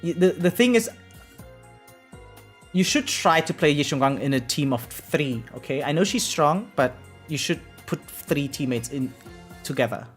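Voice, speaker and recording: A man's voice: fairly high pitch, expressive, moderate pace, faint music behind. A man live streaming and chatting casually with viewers in a rambling, repetitive, slangy and profane way, often checking in with a tag question. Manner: frequently tags sentences with "right?". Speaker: variety game live streamer.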